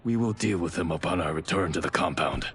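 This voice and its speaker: A man's voice: deep voice, a little fast, a bit bright, slight background noise. A man playing a stern, aggrieved ninja clan leader in a game cutscene, speaking formally and solemnly. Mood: stern, grave. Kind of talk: dramatic video game cutscene acting. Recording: cinematic score under the voice.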